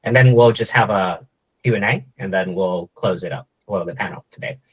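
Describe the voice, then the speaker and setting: A man's voice: sits low, normal speed, slightly rough, rough recording. A young man from Vietnam in his twenties, giving a casual, joking presentation to students with many fillers and restarts. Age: 20s. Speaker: guest speaker, startup co-founder.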